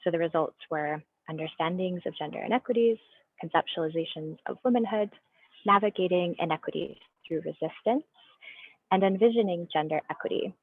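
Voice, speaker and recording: A woman giving a research presentation on a video call, explaining in a measured way with small restarts. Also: lowish voice, husky, dry recording. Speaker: guest speaker presenting research. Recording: tinny phone-like line, dry.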